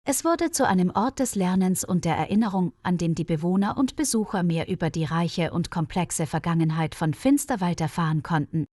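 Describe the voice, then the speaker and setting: A woman's voice: lowish voice, a little fast, few pauses, a little mellow, dry recording. Woman storyteller, dry close studio sound. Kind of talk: scripted narration of a mystery story for German learners.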